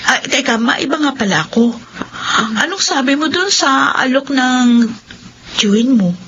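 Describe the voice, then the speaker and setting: A woman acting a chatty, concerned young friend in a Filipino radio drama, with expressive, exclamatory reactions. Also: high voice, a little slow. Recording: dull lo-fi audio, faint ambient noise.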